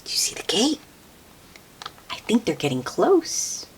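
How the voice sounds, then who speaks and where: A woman reading a picture book aloud to young children as host, in an expressive, sing-song way with questions and soft exclamations for the listener. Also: medium pitch, unhurried, whispered.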